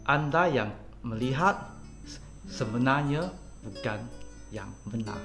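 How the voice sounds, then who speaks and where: Halting male director, many fillers. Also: medium pitch, expressive, music in the background. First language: Mandarin. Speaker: film director guest. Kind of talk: interview answers in halting Malay. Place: small studio set with poster backdrop. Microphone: desk mic on tripod.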